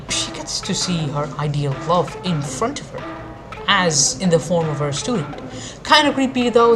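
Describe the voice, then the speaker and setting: Low-voiced woman in blunt, sarcastic commentary. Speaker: anime review video maker. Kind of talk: informal video rant commentary. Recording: music behind the voice. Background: background music.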